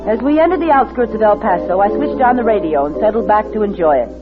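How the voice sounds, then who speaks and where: Actress as low-pitched, hard-boiled woman. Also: animated, fast, lightly acted. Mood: tense recounting. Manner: hard-boiled, clipped, determined. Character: tough, wary young secretary turned gun-toting fugitive, narrating. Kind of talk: old-time radio thriller acting and narration. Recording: muffled vintage radio recording with music.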